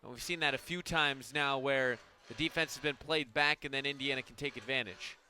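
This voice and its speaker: A man giving conversational color analysis on a softball radio broadcast, explaining things in an informal way. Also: mid-range voice, fairly quick.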